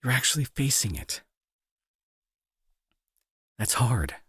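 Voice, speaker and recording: A young man playing a remorseful bully turned tender caretaker in an intimate audio drama, speaking softly and earnestly with a breathy, husky, hesitant delivery. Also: mid-range voice, big pitch swings, slow, dry recording, full character voice. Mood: tender, reassuring. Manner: soft, hesitant, earnest, reassuring.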